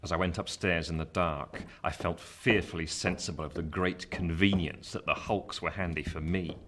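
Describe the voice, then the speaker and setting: An actor playing a grown man who narrates his fearful boyhood in a radio play, measured and literary, with an English accent. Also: low-pitched, fairly even intonation, fairly bright tone. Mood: wry, measured. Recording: noisy home recording.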